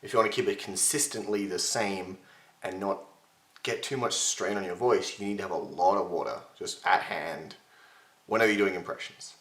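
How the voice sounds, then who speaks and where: Chatty young man with a strained, gravelly voice. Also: deep voice, moderate pace, fairly bright tone, roomy sound. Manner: chatty, self-mocking, gestures with his hands. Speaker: voice impression tutor. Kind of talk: casual webcam tutorial. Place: home room with shelves, indoors. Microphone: webcam at desk distance.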